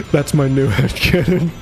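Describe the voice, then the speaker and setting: A man's voice: on the high side, vocal fry, darkish tone, rough recording. A man giving casual, jokey livestream commentary on a video game and chuckling often. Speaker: streamer. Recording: game music behind the voice.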